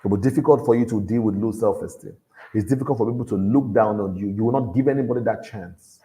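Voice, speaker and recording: A man with a deep voice speaks in a low register, giving a motivational talk to young people. His tone is mellow and warm. He exhorts his listeners earnestly and circles back to repeat his key points. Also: quick pace. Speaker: motivational speaker at a youth event.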